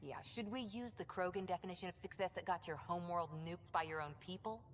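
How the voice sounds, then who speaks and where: An actress playing a brisk, sardonic crew officer in a video game. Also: female voice, on the low side, slight background noise, close to an everyday voice. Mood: wry, brisk. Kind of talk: video game cutscene acting. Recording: slight reverb with music.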